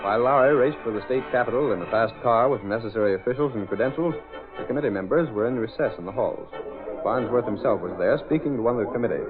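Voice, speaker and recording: A man's voice: medium pitch, fast, lightly acted. A man narrating an old-time radio drama in a dramatic announcer style. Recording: muffled vintage radio recording with music.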